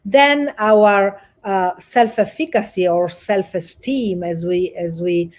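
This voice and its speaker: A woman with an Italian accent, a guest coach speaking over a video call in an earnest, halting way with many restarts. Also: mid-range voice, a little mellow. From Italy. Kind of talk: livestream interview.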